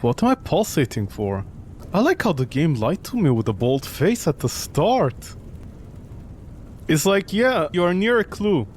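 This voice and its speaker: A man's voice: fairly high pitch, big pitch swings, unhurried, slight background noise. A man doing live game commentary, talking to himself in a sarcastic, exclamatory way. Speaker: gaming streamer.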